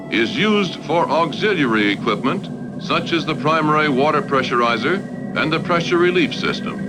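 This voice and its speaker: A man reading scripted industrial documentary narration in a formal, expository manner. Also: on the high side. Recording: archival film with loud music. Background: loud music.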